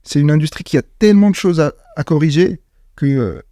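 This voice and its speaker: A man's voice: on the high side, fairly quick, dark tone, no echo, studio quality. A man from Morocco, a startup founder, speaking in a casual interview, hesitant with many fillers and restarts.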